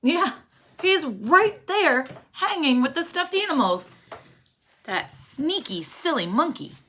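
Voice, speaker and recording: Animated, bright-voiced woman reading to children. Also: sits high, takes it slowly, slight background noise. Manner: expressive, prompting young listeners with questions and counting along. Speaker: teacher reading a picture book aloud to children. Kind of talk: children's read-aloud storytelling.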